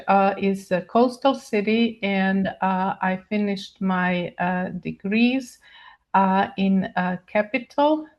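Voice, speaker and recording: An older woman from Croatia with a Croatian accent, giving a webinar lecture in a halting way with many pauses. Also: medium pitch, dull audio. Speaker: guest speaker, neurochemist. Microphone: video call.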